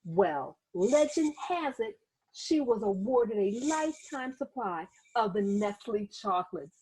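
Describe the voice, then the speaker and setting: A woman reads a picture book aloud with a lively, swooping delivery and a slightly husky edge to her voice. She performs the story dramatically, in the manner of a teacher speaking to young children, and turns to her listeners with asides. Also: medium pitch, unhurried, rough recording. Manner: animated, dramatic, acts out sound words and exclamations.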